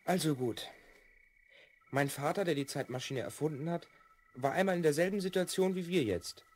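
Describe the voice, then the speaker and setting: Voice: medium pitch, normal speed, a little hiss or hum, lightly acted. A performer playing an earnest twelve-year-old boy time traveller in a children's radio play. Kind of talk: 1970s German children's radio play acting.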